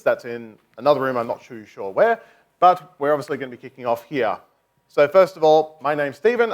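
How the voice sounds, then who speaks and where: A man chairing a conference panel, chatty and joking as he introduces guests and asks questions. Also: a little high. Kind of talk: live panel hosting. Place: conference stage before an audience.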